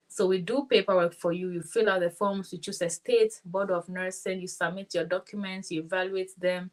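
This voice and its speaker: Ghanaian nurse lady in her 30s, lowish voice, confident, explains stuff as a guest. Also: fairly bright tone. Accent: West African, Ghanaian. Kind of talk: interview, explaining.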